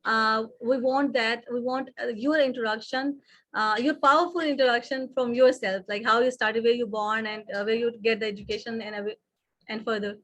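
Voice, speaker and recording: Halting woman host, fairly high voice. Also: fairly even intonation, fairly bright tone, no room sound. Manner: halting, searching for words. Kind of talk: interview hosting.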